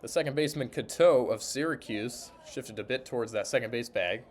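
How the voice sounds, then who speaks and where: Male radio play-by-play voice, mid-pitched, clipped descriptive delivery, light ballpark ambience. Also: brisk, a little hiss or hum. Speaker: sports broadcaster, interviewer and play-by-play announcer. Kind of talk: baseball radio play-by-play commentary. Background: ambience of a sparsely filled ballpark.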